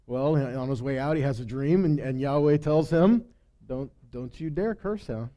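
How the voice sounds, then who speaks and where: A man's voice: medium pitch, moderate pace, mellow. A man giving an informal Bible study, retelling stories conversationally, acting out the dialogue and often checking in with a rhetorical "right?". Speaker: Bible teacher leading a weekly Torah study. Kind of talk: informal sermon, Bible teaching with acted-out paraphrase.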